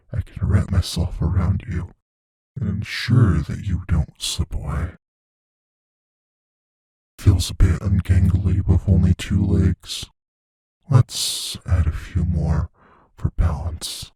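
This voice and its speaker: An actor voicing a playful, possessive, menacing shape-shifting monster in an intimate audio roleplay, musing slowly in a husky, breathy near-whisper. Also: male voice, sits low, dark tone, dry recording, heavily acted. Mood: curious, pleased. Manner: slow, musing, savoring pauses.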